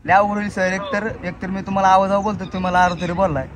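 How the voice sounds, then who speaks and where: A man's voice: sits high, little pitch movement, racing. A young man in casual prank-call chat, insistent and repetitive, with mock politeness. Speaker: prank caller and video host.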